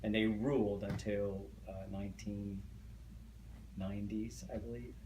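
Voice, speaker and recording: A man's voice: on the low side, level tone, unhurried. A middle-aged man whose first language is Hungarian, recalling family history in an oral history interview, with many hesitant fillers and restarts. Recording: echoey room, faint noise.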